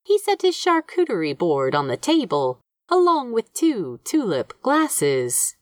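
A woman reads fiction as a narrator, taking her time over each phrase. Her storytelling is highly expressive, with wide pitch movement, and the recording is dry and close. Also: a little slow, no room sound.

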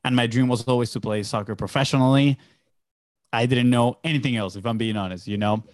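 A man's voice: medium pitch, fairly even intonation, fairly quick, dry recording, studio quality. Upbeat young man from Venezuela. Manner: upbeat, loose storytelling with many fillers. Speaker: podcast guest, entrepreneur. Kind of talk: casual interview chat.

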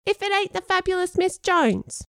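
Woman putting on a tiny high-pitched cockney worm. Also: animated, normal speed, gravelly, bright, forceful tone, dry recording, clean studio sound, heavily acted. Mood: cheeky, teasing. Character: tiny cheeky cockney worm puppet, teasing.

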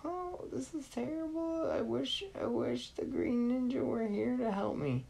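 A woman's voice: moderate pace, creaky, warm tone, poor audio. A woman voicing a frightened little boy who pleads to be let go, in a bedtime story. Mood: pleading. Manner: high, pleading, breathless.

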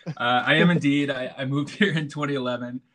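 A man's voice: mid-range voice, fairly quick, fairly bright tone. A middle-aged American man with a laid-back manner and frequent chuckles, speaking as a guest in a casual conversation. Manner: laid-back, chuckles often, many restarts. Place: home in Istanbul.

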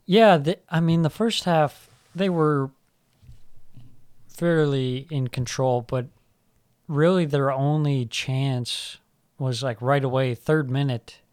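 A man's voice: mid-range voice, fairly even intonation, slow, a little mellow, clear, resonant tone, no echo. A man co-hosting a football podcast, talking casually with a hedging, laughing manner. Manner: hedging, laughs often, uses fillers. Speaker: podcast co-host.

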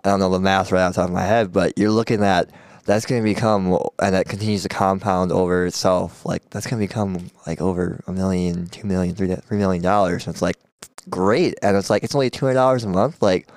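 Low-voiced man explaining money casually. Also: steady tone, brisk, darkish tone, dry recording. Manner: explains plainly, with many restarts and "like". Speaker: podcast co-host, accountant. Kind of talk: casual conversational podcast talk.

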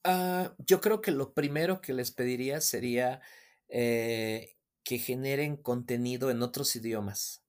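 Man explaining in a podcast interview. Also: medium pitch. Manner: measured, explanatory, with filler "eh". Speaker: guest.

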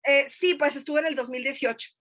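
A high-pitched woman with a northern Mexican Sonoran accent, in her thirties. She talks casually on a podcast, pausing often and trailing into fillers and little tag questions as she recounts her experiences. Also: fairly even intonation, fairly bright tone. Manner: hesitant, many fillers and restarts, frequent tag questions. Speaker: podcast guest. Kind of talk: casual conversational storytelling.

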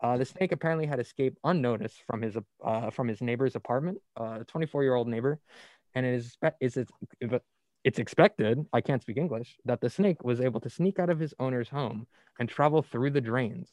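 Young male host, college radio, playful news reader, middle pitch, dry booth sound. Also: brisk, a little mellow, no room sound. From New Jersey. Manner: upbeat, playful, reads stories aloud. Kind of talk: casual news chat.

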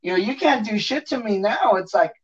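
A man's voice: high-pitched, expressive, fairly quick, husky. A man co-hosting a podcast, chatting in casual slang with an agreeable, joking, sometimes profane manner. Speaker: podcast co-host. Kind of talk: casual, slangy movie chat. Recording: dull lo-fi audio, distant from mic.

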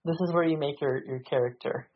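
A man's voice: a little high, fairly even intonation, fairly quick, highs cut off, a little hiss or hum. A man narrating as game master over a voice call, hesitant with many ums and restarts.

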